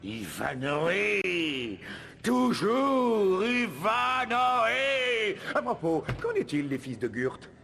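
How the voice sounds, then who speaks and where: A man's voice: sits high, sing-song, drawn-out words, creaky, bright, forceful tone, a little hiss or hum, heavily acted. A man playing a scheming, petulant prince in a French cartoon dub, imperious and theatrical. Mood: exasperated.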